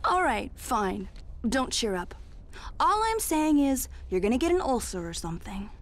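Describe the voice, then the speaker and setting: A woman's voice: high voice, sing-song, moderate pace. A woman voicing a flirty, teasing, carefree thrill-seeker in a video game, with a sultry and taunting manner. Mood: dismissive, coaxing.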